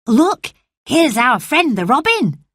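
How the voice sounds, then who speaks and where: A cheerful, encouraging young boy, as a woman reading the story puts him on. His voice sits above her own and stays bright. Slow storybook pace, dry sound. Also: a little high, big pitch swings, dry recording, pitched above the performer's own voice.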